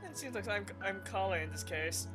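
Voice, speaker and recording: A woman's voice: medium pitch, lively intonation, a little fast. A woman streaming a game, giving casual live commentary on her playthrough. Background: game music.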